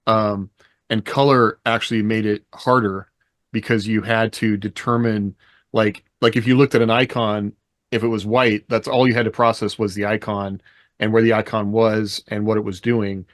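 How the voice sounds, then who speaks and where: A man's voice: fairly low pitch, level tone, moderate pace, dry recording, studio quality. A man co-hosting a podcast, explaining and recollecting in a casual, conversational way. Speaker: podcast co-host.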